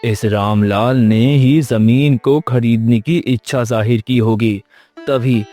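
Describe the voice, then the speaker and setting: A man's voice: mid-range voice, fairly even intonation, slow, dark tone, soft background music, close to an everyday voice. A man playing an emphatic village character in a moral-story cartoon. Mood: worried, suspicious. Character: earnest farmer and curt dismissive official in a cartoon.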